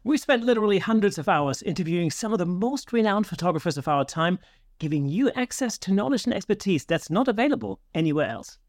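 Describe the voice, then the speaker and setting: Lively, higher-voiced male podcast host. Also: fast, no room sound, studio quality. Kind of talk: scripted introduction and casual interview.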